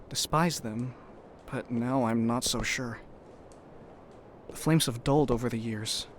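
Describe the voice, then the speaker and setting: Loyal young spacefarer, natural mid-pitched voice, earnest and measured, slight ambience behind. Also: male voice, fairly bright tone, a little hiss or hum. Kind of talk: audio drama acting.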